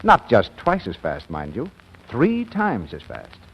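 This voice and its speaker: Male American vintage radio announcer: medium pitch, lively intonation, polished, emphatic sell. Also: unhurried. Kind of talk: 1940s radio scripted announcing and advertising. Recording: muffled, noisy old radio recording.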